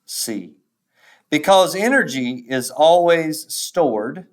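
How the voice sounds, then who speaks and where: Male test reader, mid-pitched, extremely unhurried and deliberate, pausing between answer options, varied intonation. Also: animated. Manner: measured, pausing after each option letter. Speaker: teacher reading a test aloud. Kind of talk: slow, deliberate read-aloud of quiz questions and answer choices.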